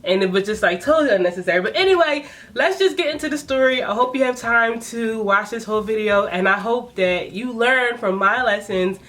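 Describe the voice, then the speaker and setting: A woman in her late twenties telling a personal story in a casual vlog, chatty and animated with frequent laughs. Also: fairly high pitch, brisk, hardly any pauses. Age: 20s. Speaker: vlogger.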